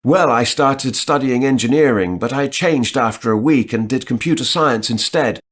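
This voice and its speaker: A man playing a job applicant in a scripted listening dialogue, answering questions in a relaxed way and thinking aloud. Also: mid-range voice, moderate pace, natural voice.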